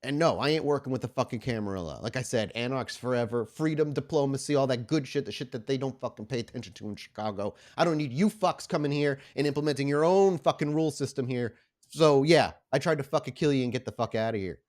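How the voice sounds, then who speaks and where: A man's voice: mid-range voice, fast, darkish tone, pitched above the performer's own voice, close to an everyday voice. A man playing a defiant, foul-mouthed anarchist vampire captive who talks like a street tough, in a tabletop game. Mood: bitter, defiant. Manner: profane, snarling street-tough talk.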